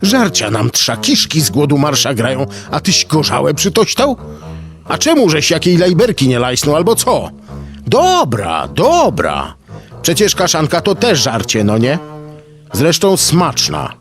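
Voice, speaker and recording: A man voicing a coarse, loud male construction worker who swears in rough dialect. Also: a little high, huge pitch swings, a little slow, faint music behind, voice pushed up, exaggerated voice. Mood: indignant.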